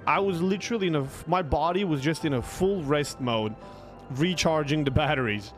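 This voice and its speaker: A young man, a streamer, telling stories casually in a rambling, halting, conversational way into a close desk microphone. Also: on the high side, soft background music. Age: young adult. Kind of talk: casual livestream storytelling.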